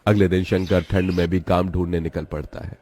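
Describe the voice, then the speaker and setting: A man narrating a cartoon drama story in a steady, dramatic storytelling manner. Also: deep voice, brisk, slight background noise.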